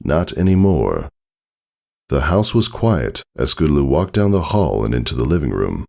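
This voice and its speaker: A man's voice: a bass voice, very animated, moderate pace, vocal fry, mellow. A man reading a story aloud as a scripted narration. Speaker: fan fiction reader.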